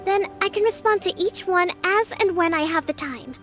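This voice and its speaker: An actress voicing a gentle young island leader in an anime game, speaking in a formal, measured, diplomatic way. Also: female voice, very high-pitched, soft background music. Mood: patient, weary.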